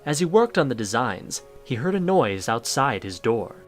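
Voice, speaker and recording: Lively male story narrator. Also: medium pitch, normal speed, faint music behind.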